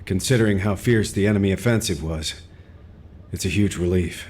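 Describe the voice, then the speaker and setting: A man's voice: sits low, moderate pace, a little mellow, lightly acted. A man voicing an authoritative, caring commander who speaks in a composed, decisive way. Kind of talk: game cutscene dialogue.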